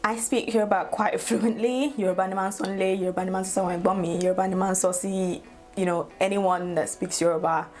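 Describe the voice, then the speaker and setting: A woman's voice: medium pitch, fairly even intonation, fast, a little mellow, soft background music. A woman, talking casually to her camera and laughing often as she chats. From Nigeria. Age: 20s. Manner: chatty, laughs often, playful asides. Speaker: YouTuber. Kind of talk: casual get-to-know-me vlog.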